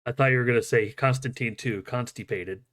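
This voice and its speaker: A man's voice: medium pitch, a little fast, fairly bright tone. A man hosting a livestream with casual movie news chat, joking and swearing freely. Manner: jokey, profane. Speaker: show host.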